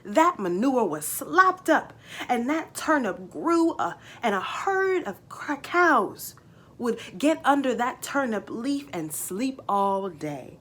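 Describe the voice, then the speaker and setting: Woman voicing a folksy, unhurried old farmer father. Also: fairly high pitch, very animated, fairly bright tone, heavily acted. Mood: boastful, reminiscing. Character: old farmer father, tall-tale bragging, folksy and soothing.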